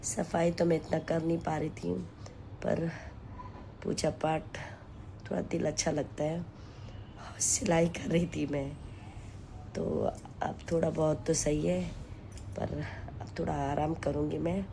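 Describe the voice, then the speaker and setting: A hoarse woman with a sore throat, chatting to her followers from home in a weary, sighing way. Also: low voice, level tone, dark tone, a little hiss or hum, low-quality recording. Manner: slow, weary, sighing between lines. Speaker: vlogger and home tailor. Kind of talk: casual chat to camera. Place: at home, taking steam.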